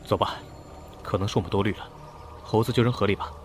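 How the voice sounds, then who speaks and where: Low-voiced, calm educated man played by an actor. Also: fast talker, a little hiss or hum, natural voice. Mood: analytical. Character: educated, calm, kind-hearted male official on the run. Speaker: voice actor in an audio drama. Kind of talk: Chinese audio drama acting.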